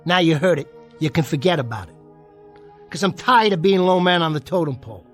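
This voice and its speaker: Casting: male actor as undersized American jockey, high voice, slangy, defiant and boastful, radio drama with music behind. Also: animated, brisk, close to an everyday voice. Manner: streetwise slangy tough talk, defiant. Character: bitter, boastful small man, a disgraced jockey.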